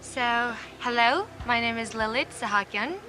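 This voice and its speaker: A woman from Yerevan, Armenia, who works as a tour guide, introducing herself clearly and fluently. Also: a little high, measured pace, rough recording.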